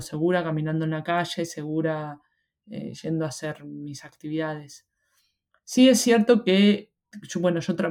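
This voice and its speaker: A woman's voice: deep voice, dark tone. A young woman from Argentina with an Argentine Spanish accent, chatting reflectively as an interview guest, with many fillers and restarts. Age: young adult. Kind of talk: casual interview chat.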